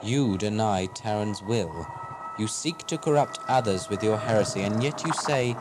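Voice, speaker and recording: An actor playing a hot-tempered, fanatical alien zealot in a science fiction audio drama, accusing and declamatory. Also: male voice, lowish voice, expressive, normal speed, background noise, natural voice.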